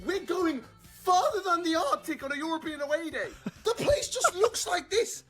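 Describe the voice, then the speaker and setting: A speaker in an excited football explainer, enthusiastic and laughing between phrases. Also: very high-pitched, moderate pace, soft background music. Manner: enthusiastic, laughs mid-sentence.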